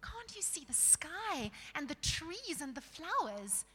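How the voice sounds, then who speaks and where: A woman's voice: high-pitched, very animated, slow pace, bright, forceful tone, big-room sound, voice pushed up, heavily acted. A woman voicing a kind young girl queen in a storybook reading, earnest, compassionate and pleading.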